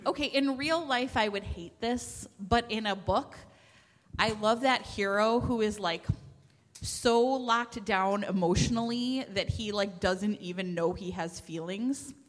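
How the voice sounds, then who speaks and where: A woman's voice: mid-range voice, unhurried. A woman chatting casually and playfully about romance novels as a podcast host, gushing and emphatic. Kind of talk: lively casual chat. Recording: echoey hall, background noise.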